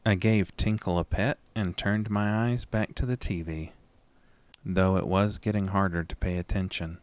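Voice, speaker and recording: A man reading a scripted first-person horror story in a dramatic, deliberate storytelling manner. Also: sits low, fairly even intonation, a little mellow, a little hiss or hum. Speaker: narrator and lead of a horror audio story.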